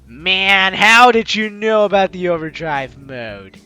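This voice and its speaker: A man reads a story game aloud and jokes between lines. His voice is high and very lively in pitch. Faint music plays under him. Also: slow pace. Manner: animated, joking asides. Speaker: game player reading dialogue aloud. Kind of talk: let's play narration.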